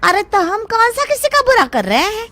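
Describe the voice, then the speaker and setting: A woman playing a greedy, cowardly young man in a cartoon story, excitable and wheedling. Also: extremely high pitch, normal speed, no echo, exaggerated voice. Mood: wheedling, scoffing.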